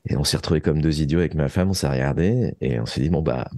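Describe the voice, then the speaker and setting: A man's voice: a bass voice, fast talker, warm tone, no echo, studio quality. A man telling stories conversationally as an interview guest, hesitant and digressive, often rephrasing himself. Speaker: interview guest, author of audio fiction.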